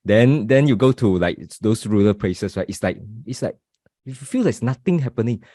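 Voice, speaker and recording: Singaporean man, low voice, dry sound. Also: expressive, normal speed, dark tone, no room sound. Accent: Singaporean English. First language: Mandarin. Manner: chatty, compares places from experience. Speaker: podcast co-host. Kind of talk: casual chat.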